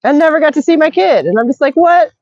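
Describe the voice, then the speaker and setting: A woman's voice: high-pitched, lively intonation, fast talker, darkish tone, dry recording, dull audio. Tired middle-aged woman on a call. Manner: chatty, reads live chat aloud. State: tired, recovering from illness. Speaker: channel host. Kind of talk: casual video call chat.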